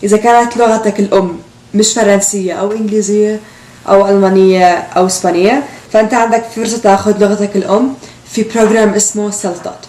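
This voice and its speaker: Palestinian young woman, very fast explanatory delivery, roomy echo, far from mic. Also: mid-range voice, steady tone, dark tone. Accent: Palestinian Arabic. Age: late teens. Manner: explanatory, quick. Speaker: student presenter. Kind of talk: explanatory video narration. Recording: echoey room, distant mic.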